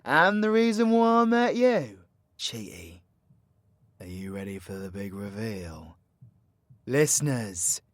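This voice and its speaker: A man's voice: high-pitched, clear, resonant tone, dry recording, clean studio sound. A British man playing a cocky, foul-mouthed, vengeful supervillain magician in an audio roleplay, with slangy, theatrical, teasing banter. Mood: dramatic build-up. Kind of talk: ASMR-style audio roleplay, addressing an unheard companion.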